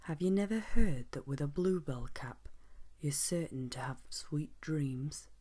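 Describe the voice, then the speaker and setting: A woman's voice: low-pitched, animated, a little slow, dark tone, full character voice. A woman voicing a kindly, cheerful little male woodland gnome in a whispered bedtime story. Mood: gentle. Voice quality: breathy whisper.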